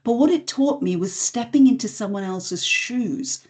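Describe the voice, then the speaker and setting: A middle-aged woman from Singapore, a voice and communications coach, telling her story as a guest in a podcast interview in a measured, articulate way. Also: fairly high pitch, lively intonation, darkish tone.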